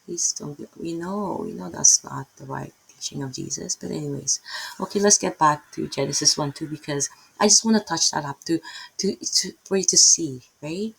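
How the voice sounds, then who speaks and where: A woman's voice: low voice, moderate pace, creaky, a bit bright, husky, low-quality recording. A middle-aged woman with a Filipino accent giving a casual, rambling Bible lesson, often checking in with "right?" and breaking off mid-thought. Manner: rambling, self-interrupting, tags many lines with "right?". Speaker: Bible teacher. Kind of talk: casual unscripted Bible teaching.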